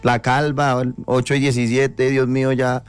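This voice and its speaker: A man's voice: mid-range voice, little pitch movement, measured pace, smooth, no echo, faint music behind. A man with a Colombian accent joking around as a radio panelist in casual, teasing banter.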